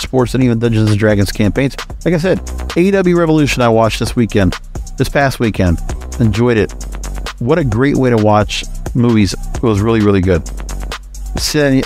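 A man's voice: medium pitch, darkish tone. A man hosting a podcast solo with casual, conversational commentary, dropping opinionated asides in short, choppy sentences. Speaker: podcast host.

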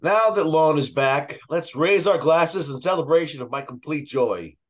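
A man's voice: on the high side, moderate pace, lightly acted. A middle-aged man playing a joyful, oblivious, newly engaged uncle making toasts in a screenplay table read. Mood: joyful, proud. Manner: grandly toasting. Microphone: video call microphone.

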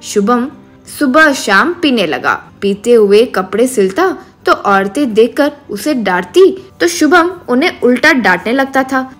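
Woman narrator reading a kids style story, high voice, light music behind. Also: very animated, a little slow.

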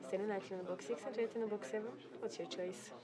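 A woman's voice: mid-range voice, fairly even intonation, quick pace, over a noisy crowd. A young woman working as a casino dealer, politely announcing the game in formal set phrases. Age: young adult. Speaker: live casino blackjack dealer. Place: indoor casino studio.

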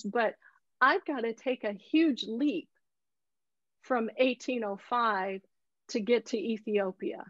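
Slow, emphatic high-voiced woman. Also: no echo. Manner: emphatic, deliberate, repeats key words for weight. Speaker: photographer giving a talk. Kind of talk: earnest presentation and discussion. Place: indoor room on a video call. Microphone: earbuds on a video call. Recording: dull, dry video-call audio.

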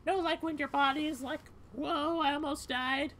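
A woman's voice: high-pitched, fairly even intonation, normal speed, a bit bright, a little hiss or hum. A woman voicing a tired, sarcastic young female cat in a casual, rambling way for a game. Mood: hyper, rambling. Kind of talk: fan voice-over of game dialogue.

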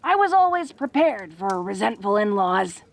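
A woman playing a tough but awkward, affectionate young orc woman in an intimate roleplay audio drama, talking in a folksy, halting way. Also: mid-range voice, very animated. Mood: sheepish, teasing. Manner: folksy, halting tough-girl talk with small chuckles.

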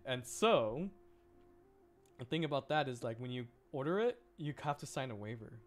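A man's voice: medium pitch, big pitch swings, a little slow. A young man streaming a video game, chatting casually and rambling, often repeating words as he thinks aloud.

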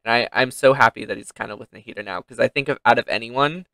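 Bright, clear man reacting casually. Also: mid-range voice, fast talker, no echo. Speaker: streamer reacting to game trailers.

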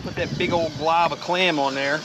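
A middle-aged man talks through his fishing trip in a chatty, upbeat way, his voice sitting a bit high. He is on the open deck of a boat at sea, picked up by an action camera. Loud wind and boat noise roar behind him. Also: steady tone, normal speed. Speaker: fishing vlogger. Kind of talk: casual fishing commentary.

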